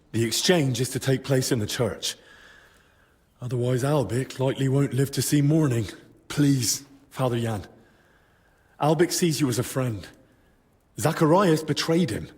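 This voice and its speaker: A man playing an earnest, determined young man in a medieval video game drama, speaking in a measured, respectful way. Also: mid-range voice, darkish tone, close to an everyday voice. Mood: urgent, grave, then earnest, pleading.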